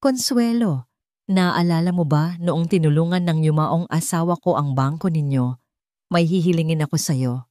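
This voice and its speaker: A woman narrator plays a commanding older Filipino matriarch in an audio story. She is wealthy, shrewd and resolute, speaking firmly in a mellow voice, recorded dry. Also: deep voice, normal speed, dry recording, lightly acted. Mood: shrewd, persuasive. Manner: firm, authoritative. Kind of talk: audio story character acting.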